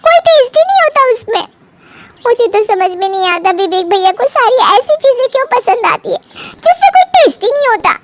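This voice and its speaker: A fussy young sister-in-law in a cartoon family drama played by a woman. Her voice is extremely high and girlish. She whines and complains with big dramatic flair. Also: very animated, fairly quick. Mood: exasperated. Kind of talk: animated family story dialogue.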